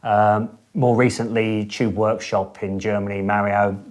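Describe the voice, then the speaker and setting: A middle-aged man who owns a guitar amp studio, chatting in an interview in a relaxed, enthusiastic way with lots of fillers and restarts. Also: on the low side, unhurried. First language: English. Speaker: boutique amp studio owner. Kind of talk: casual interview chat.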